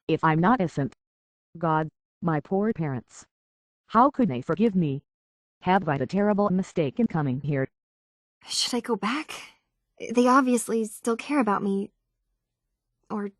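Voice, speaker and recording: A woman's voice: mid-range voice, darkish tone, no echo. Conflicted young woman from a video game, sincere n hesitant, really dry close sound. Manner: hesitant, sincere, emotionally vulnerable. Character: earnest, conflicted young woman searching for her identity.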